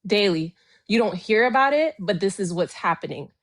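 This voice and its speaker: A Haitian woman, a guest in a political debate, speaking slowly and deliberately with firm emphasis. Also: medium pitch, lively intonation.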